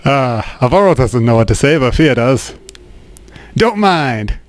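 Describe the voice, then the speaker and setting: A man's voice: mid-range voice, sing-song, unhurried, dry recording. A man hosting a let's play, translating and commenting on a game in a casual, wry way. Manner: casual, wry, dry humor. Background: game music.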